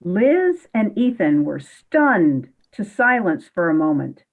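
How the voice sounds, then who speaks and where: A woman's voice: fairly low pitch, very animated, slow. A woman reading scripted story narration for a radio-style play, on a dull, lo-fi recording.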